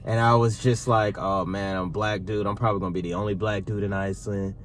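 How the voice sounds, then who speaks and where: A man in his thirties co-hosting a casual sit-down Q&A with his partner, talking in a relaxed, rambling way. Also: fairly low pitch, quick pace, faint music behind. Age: 30s. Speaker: vlog co-host.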